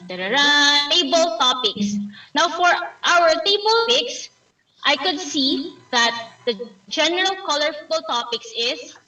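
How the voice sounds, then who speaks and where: A woman's voice: high-pitched, bright, forceful tone. A Filipino woman whose first language is Tagalog, giving a playful timer's report with theatrical asides over a video call. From Philippines. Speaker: meeting timer. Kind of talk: online club meeting report. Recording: echoey room, distant mic.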